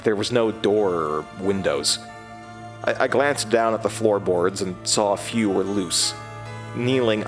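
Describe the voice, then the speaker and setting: An actor playing a haunted man who recounts his journey in first person for a horror audio drama, in halting, measured phrases. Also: lowish voice. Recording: music bed behind the voice.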